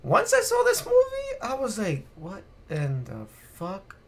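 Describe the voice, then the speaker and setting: A man's voice: high voice, wildly swooping pitch, a little slow. A man co-hosting a casual video game podcast on a live stream, ranting bluntly with plenty of swearing. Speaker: podcast co-host.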